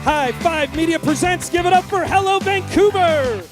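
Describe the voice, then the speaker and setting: An announcer giving an excited introduction for a live show. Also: extremely high pitch, moderate pace. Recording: music under the announcement.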